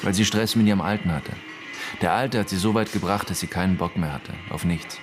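Curt, defensive low-voiced man played by an actor. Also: a little fast, music in the background, close to an everyday voice. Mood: irritable, accusing. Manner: curt, slangy, irritable, defensive. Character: defensive, evasive man questioned about dead classmate. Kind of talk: German radio drama dialogue.

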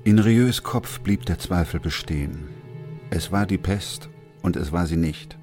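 An actor playing a grave, composed doctor who narrates a chronicle in a radio play, sober and measured. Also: male voice, sits low, dark tone, faint music behind.

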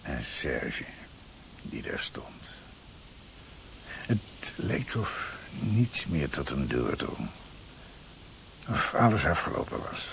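An actor playing a grieving, guilt-ridden doctor who speaks in a halting, brooding way in a Dutch radio drama. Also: male voice, rumbling low, vocal fry, fairly bright tone, slight background noise, heavily acted. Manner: halting, haunted, brooding delivery.

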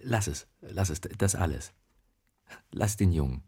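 An actor in a radio drama playing a coldly controlled, patronising male fixer, measured and clipped. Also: deep voice, expressive, breathy edge, no echo, clean studio sound, full character voice. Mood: calmly threatening. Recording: loud music under the voice. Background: music.

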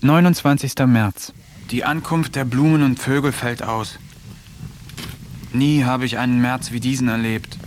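A man narrating diary entries in a radio play, calm, measured and wry, sometimes dropping to a hushed whisper. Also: medium pitch, slight background noise. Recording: studio radio play with faint music.

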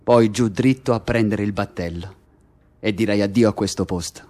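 A man's voice: lowish voice, moderate pace, a little mellow, natural voice. A man acting in Italian radio theatre as a prisoner who insistently and bitterly taunts his cellmate, piling up detail step by step. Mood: sardonic. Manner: insistent, building lists step by step. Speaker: stage actor in a radio play.

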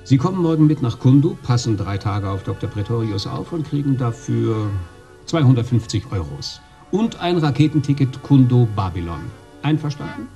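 An actor playing a smug, businesslike private secretary in a radio drama, curt and to the point. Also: male voice, medium pitch, expressive, moderate pace, vocal fry, dark tone, soft background music. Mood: brisk, smug. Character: brisk, smug male private secretary to a boss.

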